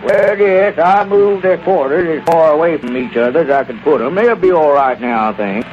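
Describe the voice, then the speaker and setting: Man doing a hesitant country circus manager, stammering, a bit high, dull old radio sound. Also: lively intonation, normal speed, a little hiss or hum, close to an everyday voice. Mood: folksy. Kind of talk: 1930s radio comedy acting.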